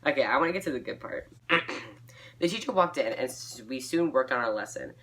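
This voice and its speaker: A woman's voice: a bass voice, expressive, brisk, a bit bright. A woman reading her old fan fiction aloud, giggly and self-mocking, dropping into casual asides.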